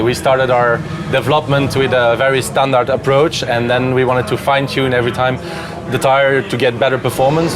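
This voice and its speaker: Guy explaining stuff in an interview, middling pitch, clear music underneath. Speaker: tyre development representative being interviewed.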